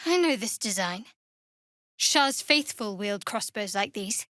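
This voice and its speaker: An actress playing a guarded, sardonic young cleric of a dark goddess in a video game, with a dry, wry delivery. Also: female voice, medium pitch, expressive, slow, no echo. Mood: musing. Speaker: game character.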